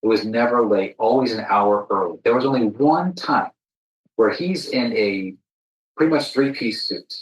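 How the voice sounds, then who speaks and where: A man's voice: lowish voice, normal speed. A man talking casually and reflectively on a podcast, telling stories earnestly with emphatic, broken-up phrasing. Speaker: podcast co-speaker. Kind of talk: casual reflective conversation, storytelling. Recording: roomy, echoey sound.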